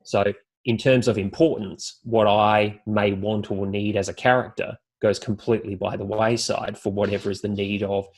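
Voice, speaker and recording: A man's voice: fairly low pitch, flat delivery, normal speed. An Australian man speaking as a podcast guest and announcer, explaining things articulately and building his points in lists. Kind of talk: podcast interview talk.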